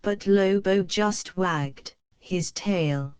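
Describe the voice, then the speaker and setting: A woman reading a children's picture book aloud, slow and careful for young learners. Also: lowish voice, a little mellow, clean tone, dry recording. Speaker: storybook reader.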